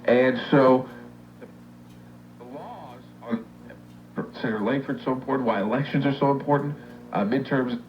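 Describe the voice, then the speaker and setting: A man speaking on political talk radio in an emphatic, animated way. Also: medium pitch, moderate pace, long pauses. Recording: muffled radio broadcast.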